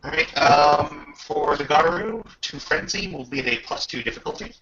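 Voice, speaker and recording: A man narrating a tabletop game as game master in a halting way, often pausing mid-sentence, on a dull home microphone. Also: fairly high pitch, animated, bright, forceful tone, slight background noise.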